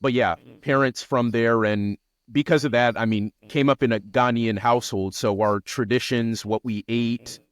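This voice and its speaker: A man's voice: mid-range voice, fairly even intonation, normal speed, clean tone, no room sound, studio quality. An American man in his late twenties from Seattle, talking about himself reflectively as a guest in a conversational interview. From Seattle, United States. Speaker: interview guest, journalist and podcaster. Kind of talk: conversational interview, personal account.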